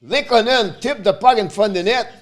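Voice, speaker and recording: A man with a Quebec French accent, French his first language, calls the game and chats with viewers on a casual live stream. His voice sits a bit high for a man. He breaks into loud excited outbursts. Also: fairly quick. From Montreal, Canada. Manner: talks straight to viewers. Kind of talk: casual live play-by-play and chat.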